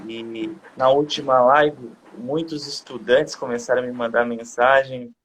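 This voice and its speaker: A man's voice: mid-range voice, steady tone, fairly bright tone, slight background noise. Deferential man hosting over a call. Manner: formal and deferential, drawn-out phrases. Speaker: host of a live stream. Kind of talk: live-stream interview, respectful introduction.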